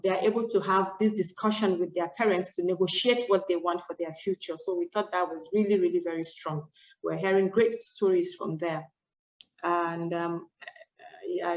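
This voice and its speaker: A woman partner speaker presenting stories from the field with slides, earnest and measured. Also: lowish voice, steady tone, reverberant, dull audio.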